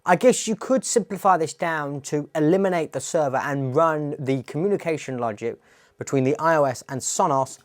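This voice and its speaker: A man presenting a tech tutorial, explaining a demo in a plain-spoken, step-by-step way. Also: a little high.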